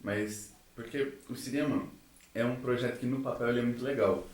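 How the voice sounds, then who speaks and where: A man's voice: mid-range voice, steady tone, a little fast. A man from a theatre group chatting informally and with slang on a podcast. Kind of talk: casual podcast group chat. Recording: echoey room, distant mic.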